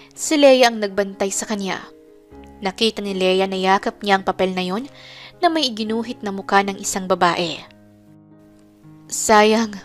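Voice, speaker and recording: A woman narrating a scripted romance story as a dramatic storyteller. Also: medium pitch.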